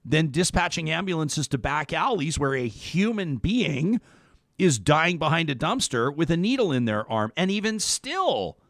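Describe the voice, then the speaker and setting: Higher-voiced male talk show host. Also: sing-song, fairly bright tone, dry recording, studio quality. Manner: halting, repeats words and rephrases. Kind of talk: conversational interview.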